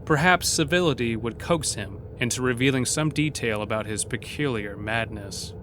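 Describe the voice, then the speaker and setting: A man narrating a scripted horror story in a measured, literary, dramatic manner. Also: medium pitch, fairly bright tone, a little hiss or hum.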